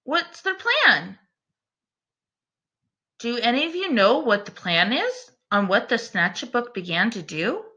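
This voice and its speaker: A woman teacher doing an expressive children's read-aloud, speaking in an encouraging way aimed at young listeners. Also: a little high, measured pace, pauses often, dull audio. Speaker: teacher reading a picture book aloud.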